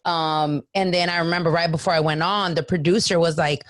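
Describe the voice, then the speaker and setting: A raspy-voiced woman in her late twenties, a podcast guest, telling a story casually, acting out quotes and swearing freely. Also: lowish voice, fairly even intonation, a little fast, no echo, studio quality.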